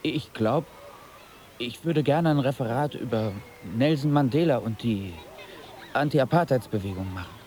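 An actor playing a curious, hesitant teenage schoolboy in radio drama dialogue. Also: male voice, mid-range voice, moderate pace, darkish tone, natural voice. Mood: tentative.

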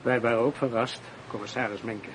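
Man playing careful police inspector, radio drama, average pitch, formal and measured, slight background noise. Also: fairly even intonation, close to an everyday voice. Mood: reserved. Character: cautious, correct male police inspector. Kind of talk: radio play acting.

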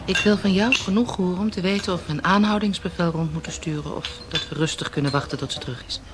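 A woman's voice: on the low side, fast, background noise, natural voice. An actress playing a composed, dryly witty policewoman in a naturalistic radio drama, asking probing questions in an understated way. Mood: businesslike.

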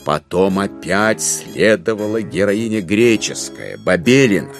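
A man narrating a classic literary audio drama in an expressive, scripted storytelling style. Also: on the low side, measured pace, soft background music.

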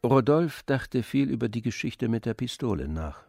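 A man playing the composed, observant narrator of a literary radio drama, with measured delivery. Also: medium pitch, very animated, vocal fry, a little mellow, faint music behind.

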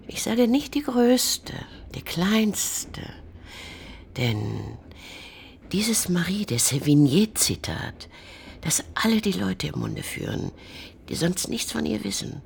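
An aging mother in a radio drama, wry and quietly amazed. Her voice is very low and creaky, with breath in it. She speaks slowly and intimately over clear background ambience. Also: big pitch swings, a little hiss or hum, full character voice. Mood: musing, wry. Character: narrator's aging mother, astonished, gently wry.

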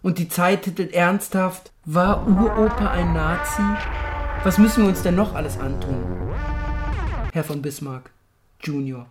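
A man's voice: sits high, measured pace, over music. A man playing a dismissive, sarcastic culture critic in a radio drama, speaking in rhetorical jabs. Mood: sardonic. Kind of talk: scripted radio feature discussion.

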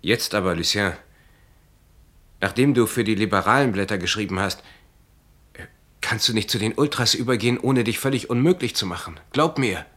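An actor playing an earnest, concerned friend who admonishes sternly in a radio play. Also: male voice, lowish voice, normal speed, long pauses, fairly bright tone. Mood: urgent warning. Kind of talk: German radio play acting.

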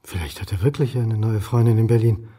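An actor playing a wry, sceptical history lecturer in a radio crime drama, with a dry, sardonic manner. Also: male voice, on the low side, fairly quick, warm tone, breathy edge. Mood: calm, reasoning. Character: wry, sceptical male history lecturer turned amateur sleuth.

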